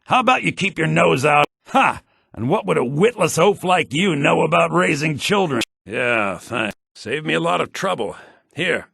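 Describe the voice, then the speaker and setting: An actor playing a sly, cynical townsman in a fantasy video game, with a husky, gravelly voice and a wry, conniving, expressive delivery. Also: fairly high pitch, moderate pace, dry recording, clean studio sound, full character voice. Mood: scornful, irritated, then wry, grumbling. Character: sly, cynical adult male townsman and schemer. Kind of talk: scripted game dialogue.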